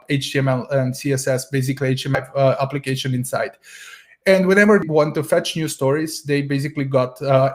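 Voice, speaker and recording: A man's voice: medium pitch, darkish tone. A man from Serbia, an English speaker, giving a conference talk over a video call in a storytelling way with frequent hesitations. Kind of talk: tech conference presentation.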